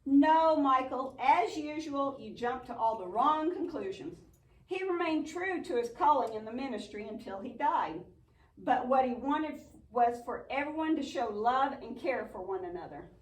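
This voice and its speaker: A woman's voice: high voice, lively intonation, moderate pace. A woman playing an earnest, patient but bossy young puppet friend in a church show, explaining and chiding. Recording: echoey room, distant mic.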